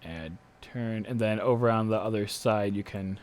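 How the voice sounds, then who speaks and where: A man's voice: fairly low pitch, moderate pace, a little mellow. A man speaking casually and a little hesitantly as game master of a tabletop role-playing game.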